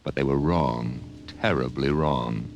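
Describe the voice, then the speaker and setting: Very deep, theatrical American radio host. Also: male voice, a little slow, creaky, a little hiss or hum. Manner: ominous, theatrical, teasing. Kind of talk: dramatic 1950s radio narration. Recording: old 1950s radio recording.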